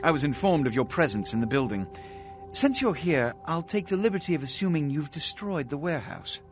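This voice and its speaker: A scheming aristocratic vampire prince for a video game. His speech is formal and condescending, with sweeping, animated intonation. He sounds pompous and cold, over faint music. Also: on the high side, brisk. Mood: smugly commanding. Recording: music behind the voice.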